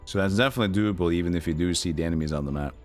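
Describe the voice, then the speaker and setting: A man's voice: low-pitched, very fast, soft background music. A man giving casual live commentary as a game streamer, musing in a rambling way.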